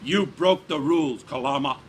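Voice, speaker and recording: A man voicing a huge, menacing gang leader in a story reading, booming, stern and accusing. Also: normal speed, slight background noise, natural voice. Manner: accusing, emphatic.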